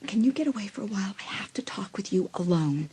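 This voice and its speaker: A woman's voice: mid-range voice, animated, quick pace, dark tone, slight background noise, natural voice. A woman playing an anxious teenage girl who has witnessed a crime, in a dramatised audiobook. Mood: hesitant, confiding.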